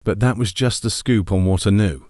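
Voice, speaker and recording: A secretive male investigator, acted by a man, explains things informally in a lowish, mellow voice. It is a dry-sounding amateur horror monologue, delivered like a confidential recorded briefing. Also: normal speed, no room sound, close to an everyday voice. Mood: explanatory.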